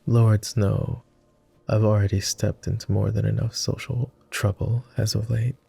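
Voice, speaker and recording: A man voicing a gentle, devoted vampire, speaking softly and formally in an intimate roleplay ASMR style. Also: fairly low pitch, level tone, measured pace, heavily acted. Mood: fond teasing. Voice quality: soft, gravelly. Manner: posh, formal, tender. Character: gentle, teasing male vampire, devoted and wistful.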